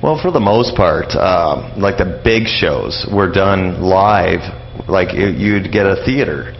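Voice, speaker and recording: A man explaining things as an interview guest in a casual, halting, rambling way. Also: deep voice, animated, vocal fry. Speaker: interview guest, old-time radio enthusiast. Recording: muffled audio with faint background noise.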